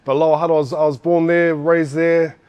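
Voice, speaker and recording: A man's voice: on the high side, level tone, a little fast, clean tone, muffled recording. Kiwi bloke in his 40s yarning away, lots of you knows and restarts, swears a bit. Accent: New Zealand. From New Zealand. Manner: rambling, many fillers and restarts, swears casually. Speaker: guest, former fighter. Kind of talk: casual interview storytelling.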